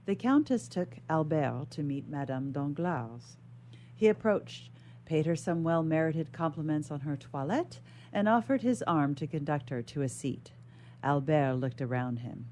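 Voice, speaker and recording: A woman's voice: low voice, expressive, unhurried, a little mellow, slight background noise. A woman reading a classic novel aloud as a guest reader on a radio show.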